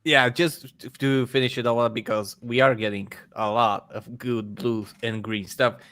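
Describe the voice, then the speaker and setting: A man's voice: mid-range voice, fairly even intonation, moderate pace, a bit bright. A man from the Balkans with a Balkan accent, a guest on a casual podcast panel who chats and laughs at himself.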